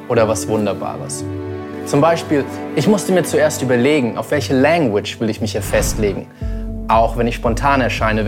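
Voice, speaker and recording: A man, a parent, slowly reciting rhyming spoken-word poetry. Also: medium pitch. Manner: slow, rhyming delivery. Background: music.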